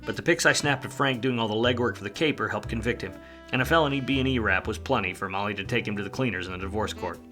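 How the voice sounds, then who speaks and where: An actor playing a wry, world-weary hardboiled private detective in a 1940s film noir radio play, full of slang. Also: male voice, on the low side, fast, over music, natural voice. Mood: wry, reflective.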